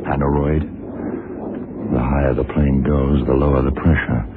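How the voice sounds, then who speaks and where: An extremely deep-voiced detective speaks with clipped, cool authority. He sounds shrewd and deliberate, in radio drama style, on a thin old broadcast recording with heavy noise. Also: level tone, phone-quality sound. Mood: urgent, commanding. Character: blind male detective, shrewd, cool, commanding. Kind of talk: 1970s radio drama acting.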